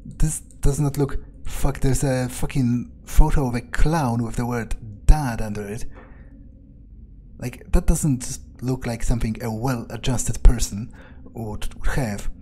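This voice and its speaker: A man with a mellow, dark voice thinks aloud as his game character. He rambles hesitantly and swears casually, with faint game ambience behind him. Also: medium pitch, steady tone, slow, slight background noise. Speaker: let's player.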